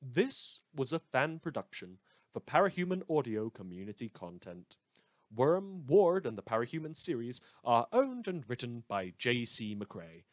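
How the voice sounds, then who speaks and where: Man reading credits, lively pitch. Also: no room sound. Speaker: fan audio producer reading credits. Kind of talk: scripted credits read.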